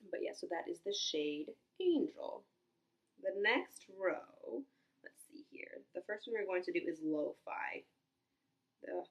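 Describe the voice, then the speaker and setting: A young woman with a stuffy, congested voice that sits a little low, chatting through a casual makeup review. She thinks out loud, with plenty of ums and quick little exclamations as she tries each shade. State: congested from a cold. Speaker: beauty vlogger.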